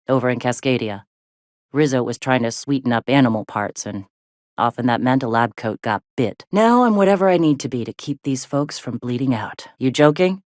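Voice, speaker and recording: A woman voicing a gruff, plainspoken middle-aged frontier medic in a video game, with a blunt, folksy way of talking. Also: very deep voice, dry recording, studio quality. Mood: frank, then curt, sardonic. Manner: folksy, blunt, drawling slang with swearing. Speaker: video game character, medic and deputy leader.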